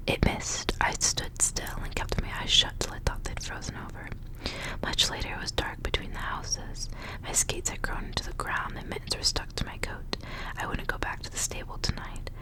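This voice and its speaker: A woman reading a story aloud as ASMR, in a soft, breathy whisper. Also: low-quality recording. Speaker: ASMR reader and channel host.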